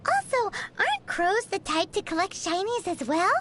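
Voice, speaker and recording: A woman voicing a tiny, childlike, excitable and gluttonous girl companion in a video game, with a squeaky voice and exclamatory delivery. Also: normal speed, slight background noise, exaggerated voice. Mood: curious, cheeky. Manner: speaks of herself in third person, exclamatory. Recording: music behind the voice.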